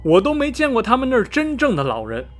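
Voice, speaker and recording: A man's voice: very high voice, wildly swooping pitch, fast, fairly bright tone, heavily acted. An actor playing a gossipy middle-aged small-town man in a radio drama, skeptical yet repelled, sighing and drawing out his phrases dramatically. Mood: disgusted, ominous. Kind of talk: radio drama acting, animated storytelling.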